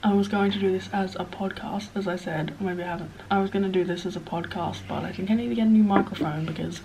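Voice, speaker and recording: A young Australian woman in her twenties chats to camera with a blocked-up, nasal voice from a cold. She rambles in a self-deprecating way and sometimes drops to a hushed whisper. A faint hiss sits under the recording. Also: mid-range voice, fairly even intonation, fast, creaky. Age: 20s. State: sniffly, stuffed-up nose. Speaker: vlogger. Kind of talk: casual Q&A chat to camera.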